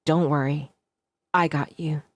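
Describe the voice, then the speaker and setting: Woman putting on a low, composed office rival. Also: fairly even intonation, measured pace, dry recording. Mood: reassuring.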